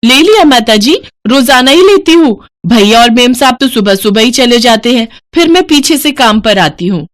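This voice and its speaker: Woman voicing a meek, polite housemaid, higher-pitched. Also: animated, normal speed, few pauses, close to an everyday voice. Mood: earnest, explaining. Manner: respectful, deferential. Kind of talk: moral story cartoon voice acting.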